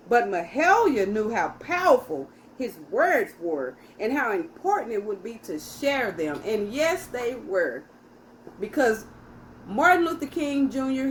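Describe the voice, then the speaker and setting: A woman's voice: fairly high pitch, slight background noise, low-quality recording. A woman hosting a children's story time, reading aloud and speaking directly to young listeners in a teacherly way.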